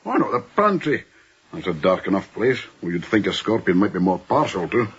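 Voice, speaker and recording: An old first mate on a merchant ship, fussy and sure of his opinions. His lowish voice swings widely in pitch as he makes each point. It is a radio play with slightly muffled sound. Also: very animated, a little fast, husky, exaggerated voice. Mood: fussy, puzzled. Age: older. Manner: ponderous, emphatic, fussy, swooping delivery. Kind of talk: radio drama acting. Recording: dull, lo-fi radio drama recording.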